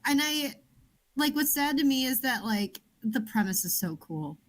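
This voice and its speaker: A woman with a Chicago accent talks casually, as a co-host on a book club show would. Her voice sits a little higher than most women's, and the delivery is conversational. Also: lively intonation, unhurried. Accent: Chicago American. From Chicago area, United States. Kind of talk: casual chat.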